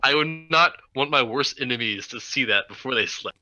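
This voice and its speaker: A man's voice: medium pitch, moderate pace, pushed and bright, poor audio. A man chatting casually and animatedly as a podcast panelist.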